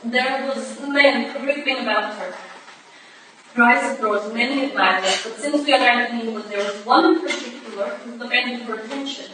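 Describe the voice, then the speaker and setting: A woman's voice: fairly high pitch, normal speed, lightly acted. A woman playing a proud, urgent Russian ballerina with formal, stilted phrasing in a staged play reading. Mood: grave, insistent. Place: live staged reading in an echoey room. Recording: very echoey room, distant mic, faint noise.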